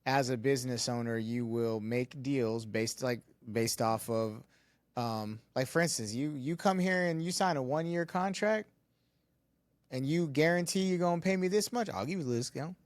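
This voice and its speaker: A man's voice: mid-range voice, moderate pace, no room sound. Plain-spoken male co-host, mid voice, bluntly casual, dry close sound. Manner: blunt, swears, talks business. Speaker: podcast co-host, studio owner. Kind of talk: casual podcast chat.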